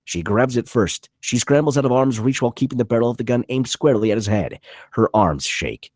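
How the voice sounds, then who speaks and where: Husky, animated male script narrator. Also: medium pitch, a little fast, dry recording, studio quality. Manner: vivid, punchy reading of action. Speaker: narrator reading stage directions. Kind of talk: dramatic script narration at a table read.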